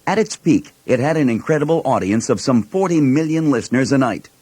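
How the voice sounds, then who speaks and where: A man's voice: medium pitch, lively intonation, a little fast, mellow, dry recording. Male narrator, scripted documentary read, dry studio sound.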